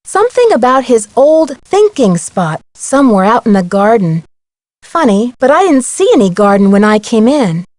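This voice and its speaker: An actress playing a young female amateur detective in a video game, curious, sensible and gently wry. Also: on the high side, very animated, moderate pace, no room sound. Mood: curious, informative. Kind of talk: scripted video game dialogue.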